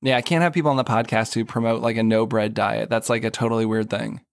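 A man's voice: on the low side, steady tone, quick pace, gravelly, dry recording, clean studio sound. A man hosting a podcast and chatting with a guest in an easygoing way. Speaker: podcast host. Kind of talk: casual chat.

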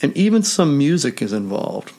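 A man hosting a solo health podcast with a measured, steady delivery, still hoarse from a recent cold. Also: medium pitch. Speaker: podcast host.

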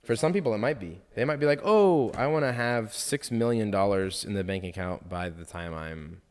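A man's voice: lowish voice, animated, moderate pace, a little mellow, in a large hall. A young man who has graduated in architecture, speaking as a podcast guest in casual, earnest chat that rambles and leans on filler words. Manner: rambling, earnest, heavy use of "like".

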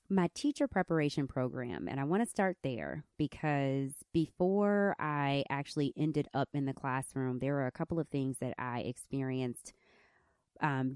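A woman in her thirties with a squeaky country voice, telling a candid personal story as host of her podcast. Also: smooth, dry recording, studio quality. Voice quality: squeaky, high voice. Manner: candid, animated storytelling with laughs. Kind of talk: personal reflective podcast monologue.

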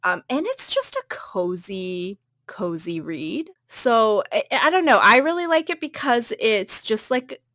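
A woman's voice: fairly high pitch, big pitch swings, measured pace, hardly any pauses, fairly bright tone, no room sound, clean studio sound. A woman co-hosting a podcast, chatting casually about a book she recommends, with many ums and likes and frequent laughs. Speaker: podcast co-host.

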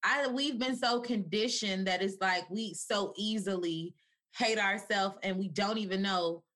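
A woman's voice: mid-range voice, a little slow, fairly bright tone. A woman on a podcast speaking earnestly, pressing her point about taking conversations further. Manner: earnest, advocating.

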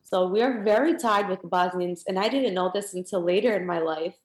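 A woman's voice: mid-range voice, fairly quick. A woman hosting an interview, asking questions in an encouraging, conversational way. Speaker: interview host. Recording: echoey room.

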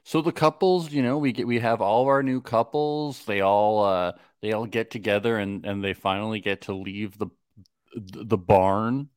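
A man's voice: on the low side, normal speed, smooth, dry recording, studio quality. A man co-hosting a podcast, riffing in a joking, profane, casual way. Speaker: podcast co-host. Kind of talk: casual comedic recap chat.

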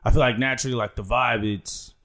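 A man's voice: medium pitch, steady tone, quick pace, creaky, fairly bright tone. A man co-hosting a podcast, asking questions in a loose, slangy way with plenty of filler. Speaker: podcast co-host. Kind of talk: casual podcast chat.

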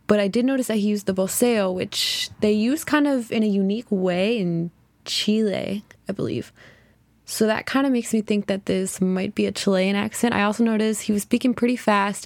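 A woman's voice: mid-range voice, darkish tone, dry recording. Chatty young woman, dry close sound. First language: English. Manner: chatty, thinks aloud, many "kind of" and "like" hedges. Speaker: podcast host. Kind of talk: casual solo podcast.

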